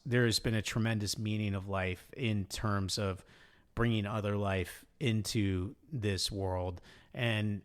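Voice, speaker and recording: A middle-aged man co-hosting a podcast in a casual, rambling, conversational way. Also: fairly low pitch. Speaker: podcast co-host. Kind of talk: casual chat.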